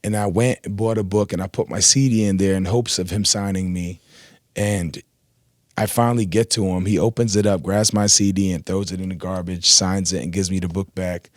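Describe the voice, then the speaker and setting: Low-voiced man, relaxed rambling storyteller. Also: a little fast, dry recording, studio quality. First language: Arabic. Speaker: rapper, interview guest. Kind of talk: casual interview chat. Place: radio studio. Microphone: studio mic.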